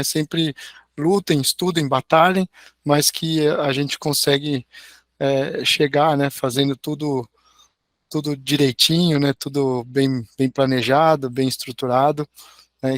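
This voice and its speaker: A middle-aged man with a hoarse voice, an engineering professor giving a friendly online lecture with slides over a video call. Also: on the high side, a bit bright, no echo. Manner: friendly, tags sentences with "né?". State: hoarse, recovering from a sore throat.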